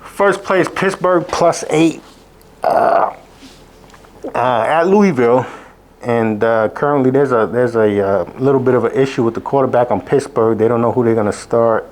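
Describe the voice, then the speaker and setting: A hoarse man, sick, hosting a casual college football betting recap, rambling with many fillers and restarts. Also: mid-range voice, normal speed. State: hoarse from illness. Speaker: sports betting show host. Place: abroad, indoors.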